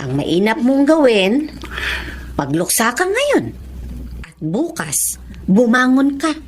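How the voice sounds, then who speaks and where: A woman's voice: on the high side, wildly swooping pitch, slow, a little hiss or hum, heavily acted. A woman playing an older, devout and practical Filipino mother in a radio drama, with a Filipino accent. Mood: consoling.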